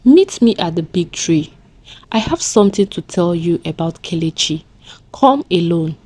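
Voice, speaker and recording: A woman's voice: lowish voice, expressive, moderate pace, dark tone, slightly rough, dry recording. A woman voicing a jealous, bitter young woman, a treacherous friend in a folktale, with emotional, dramatic delivery. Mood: scheming.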